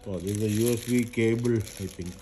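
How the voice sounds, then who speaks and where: A man doing a casual, unscripted product unboxing, haltingly thinking aloud as he goes. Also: fairly low pitch, steady tone, music in the background. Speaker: unboxing presenter. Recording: noisy recording with crinkling packaging. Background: handling and crinkling of packaging.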